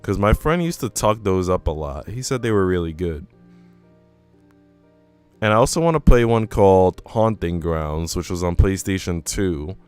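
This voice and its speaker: A man's voice: sits low, lively intonation, normal speed, darkish tone, clear, resonant tone. A man live-streaming a visual novel, reading it aloud and chatting casually in slangy asides. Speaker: game streamer. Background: music.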